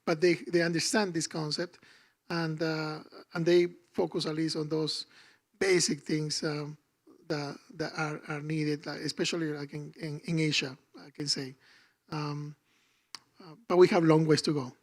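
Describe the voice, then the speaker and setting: A man from Peru speaks as a panelist. His voice sits fairly high for a man and has a gravelly texture. He explains things earnestly, with a halting pace. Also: flat delivery. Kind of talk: panel discussion.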